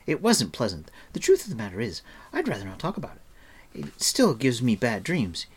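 A man's voice: medium pitch, huge pitch swings, a little fast, slightly rough, voice pushed up. A man voicing a chattering, scolding, breezily confident young girl in a storybook, talking in a breathless rattle.

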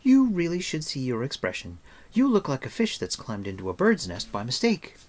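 Man voicing a chattering, scolding young storybook girl, swooping pitch. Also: wildly swooping pitch, normal speed, darkish tone. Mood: teasing, scolding. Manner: breathless, rattling chatter.

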